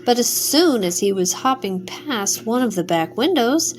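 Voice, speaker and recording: An older woman, a grandmother, reading a children's bedtime story aloud in a gentle, expressive way. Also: fairly high pitch, measured pace, a little hiss or hum.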